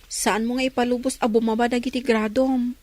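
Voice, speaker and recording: A woman's voice: a little high, little pitch movement, fairly quick, darkish tone, natural voice. A woman with a Filipino accent playing a frank, concerned young woman, a loyal friend in a radio drama. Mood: urging, frank. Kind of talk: Ilocano radio drama acting.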